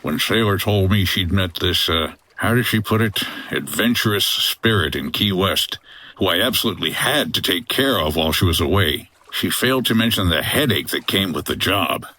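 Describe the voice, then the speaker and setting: An actor playing a gruff, wry 1950s boat skipper with a deadpan manner in a comedy adventure audio drama. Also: male voice, deep voice, normal speed, full character voice. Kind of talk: old-time-radio-style comedy adventure acting.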